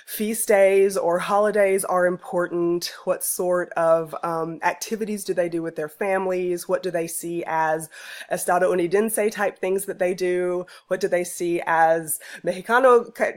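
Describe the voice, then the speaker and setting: A woman giving an informal narrated presentation as a researcher, explaining things in a halting way with pauses mid-phrase. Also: on the low side. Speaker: student researcher presenting an ethnography project.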